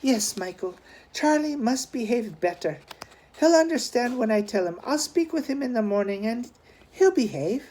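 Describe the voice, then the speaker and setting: A woman's voice: fairly high pitch, animated, normal speed, dark tone, voice pushed up. A woman voicing a scolding, fretful young woman in a storybook reading, emphatic and chiding. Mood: pleading.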